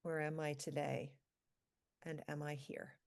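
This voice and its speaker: Low-voiced woman guiding a meditation. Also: vocal fry. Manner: calm, slow, pausing between short phrases. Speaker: meditation leader, physician. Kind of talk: guided meditation, live group session.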